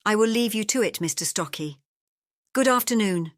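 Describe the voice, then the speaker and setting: A woman's voice: medium pitch, animated, normal speed, no room sound, close to an everyday voice. A woman plays a building manager in a period audio drama. She has a mid-range voice and a clipped, matter-of-fact delivery. There is something watchful and knowing in how she talks, and the sound is very dry. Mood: guarded, knowing. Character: brisk, watchful English landlady of an old block.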